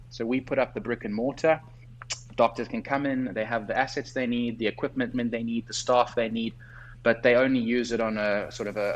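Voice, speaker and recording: A man with a South African accent, a guest entrepreneur who explains things in a clear, structured way in a conversational interview, tired late at night. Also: fairly low pitch, a little hiss or hum, poor audio.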